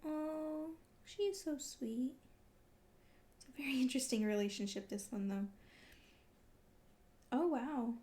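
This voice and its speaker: A woman with a fairly high voice gives casual, off-the-cuff commentary as she reads. She is gushing and exclamatory, clearly invested in the characters she reacts to. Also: measured pace, long pauses, mellow. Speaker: manga read-along vlogger.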